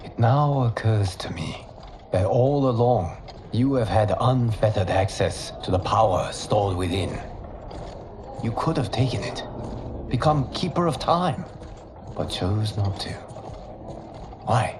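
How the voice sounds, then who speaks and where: Low gentle thoughtful god, noisy ambience under it. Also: male voice, lively intonation, slow, pauses often. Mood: reflective. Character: humble thoughtful male god, leader. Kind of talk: video game cutscene acting. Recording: game audio with noisy ambience.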